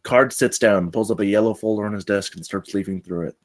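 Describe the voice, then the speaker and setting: Fast-talking low-voiced man narrating. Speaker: narrator reading stage directions. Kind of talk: scripted narration at a table read.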